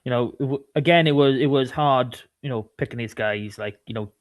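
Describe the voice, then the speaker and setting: A man co-hosting a sports podcast, talking casually about rugby in a halting way, often repeating words. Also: mid-range voice, dry recording.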